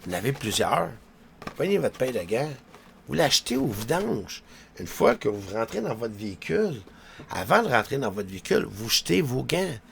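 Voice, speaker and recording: A man's voice: mid-range voice, sing-song, moderate pace, gravelly, a bit bright, a little hiss or hum. A man with a Quebec French accent giving a rambling, colloquial monologue to viewers, full of little verbal tags. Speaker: vlogger.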